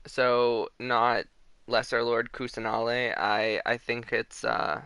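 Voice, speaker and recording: A man's voice: mid-range voice, little pitch movement, slow, smooth, dry recording. A man chatting casually as he streams a game, rambling with mock-exasperated asides. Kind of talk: casual livestream chat while gaming. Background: loud game music.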